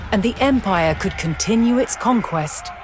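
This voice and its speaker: A woman reading scripted documentary-style narration in a measured, solemn and dramatic storytelling manner. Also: mid-range voice, lively intonation. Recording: music behind the voice.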